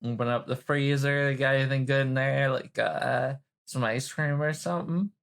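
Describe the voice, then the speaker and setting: A woman with a gravelly, creaky edge talks in a loose, informal way. She is playful and teasing, improvising as a player in a roleplay game. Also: very deep voice, steady tone, moderate pace. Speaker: player in a tabletop roleplay podcast. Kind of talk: casual improvised roleplay chat.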